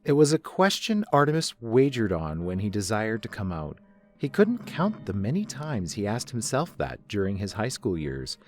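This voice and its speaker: A man's voice: lowish voice, wildly swooping pitch, moderate pace, a little mellow. A man narrating a scripted story as a reader, setting the scene between characters' dialogue. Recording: music under the voice.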